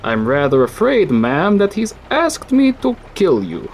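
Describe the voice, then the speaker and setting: An actor playing a courteous, apologetic city coordinator who turns quietly menacing, in an audio drama. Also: male voice, high-pitched, huge pitch swings, a little slow, a little hiss or hum, exaggerated voice. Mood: politely menacing. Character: polite but sinister city coordinator man. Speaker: coordinator of a recycling facility.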